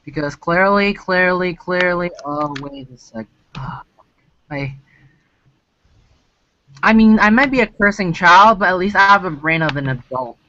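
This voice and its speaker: High-voiced man on a call. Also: expressive, measured pace, long pauses, pushed and bright. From Hong Kong. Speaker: stream host. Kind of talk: casual online voice chat. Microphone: online voice call. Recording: lo-fi call audio with faint noise.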